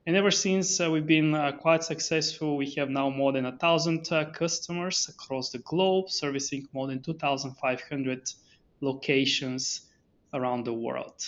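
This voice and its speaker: A man's voice: fairly high pitch, steady tone, normal speed, lo-fi sound. A man, a company founder guest on a podcast interview, talking with many fillers and restarts. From Bulgaria.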